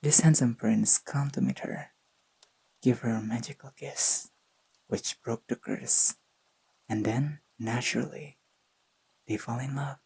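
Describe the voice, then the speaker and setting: Performer playing a young boyfriend, unhurried, breathy and husky, soft-spoken, affectionate teasing, intimate sleep-aid audio. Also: mid-range voice, steady tone, heavily acted, poor audio. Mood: slow storytelling. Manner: tender, playful, murmured delivery.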